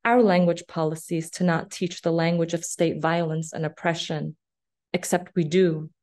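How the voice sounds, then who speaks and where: A woman in her thirties, born in Afghanistan with Farsi as her first language, reads poetry in a measured, deliberate way over a video call from a small office. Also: lowish voice, fairly even intonation, a little mellow. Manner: measured, deliberate recitation with long pauses. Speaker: poet. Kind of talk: slow poetry reading. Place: small office room indoors. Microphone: laptop webcam video call.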